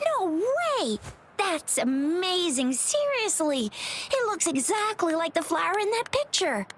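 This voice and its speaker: Very high, bubbly excitable girl. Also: unhurried, a little hiss or hum, full character voice. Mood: excited, awed. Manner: gushing, informal, exclamatory. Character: bubbly, excitable teenage girl, openly impressed. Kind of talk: video game voice acting.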